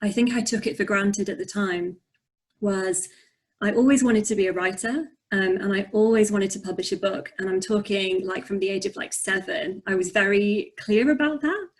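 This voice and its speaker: Young woman, slight Yorkshire accent, mid-pitched, reflective and soft, video call guest. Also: normal speed. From north of England. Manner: soft-spoken, reflective, frequent ums. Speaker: poet and author. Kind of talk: conversational interview answers.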